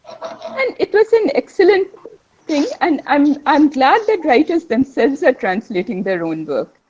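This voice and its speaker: A woman's voice: high-pitched, animated, a little slow, a little mellow, highs cut off. A woman with a Bengali mother tongue, speaking as a panelist in a literary discussion, enthusiastic and rambling, often correcting herself mid-phrase.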